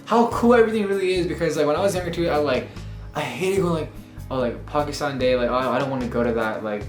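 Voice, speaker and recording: Laid-back young man co-host, rapid filler-heavy chat; faint music in the room. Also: a little high, animated, echoey room. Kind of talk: casual chat. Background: faint background music.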